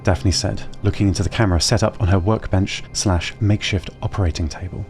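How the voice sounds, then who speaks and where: Calm low-voiced male drama narrator. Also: soft background music. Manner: calm, measured storytelling. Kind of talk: scripted audio-drama narration.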